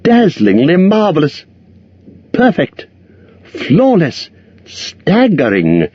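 A nervous little hobbit with a high squeak, fawning and flattering, as a man puts him on. The pitch leaps around a lot in a dull lo-fi recording. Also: a little slow, husky, no echo, full character voice. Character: timid small male hobbit, flattering and nervous. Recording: dull lo-fi sound.

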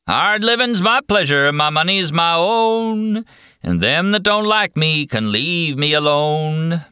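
Man acting a brash, mocking rogue, swooping pitch. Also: sing-song, normal speed, no room sound, studio quality. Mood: boisterous singing. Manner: singing out loud, teasing, mock-innocent. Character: brash, mocking redheaded male psychiatric patient.

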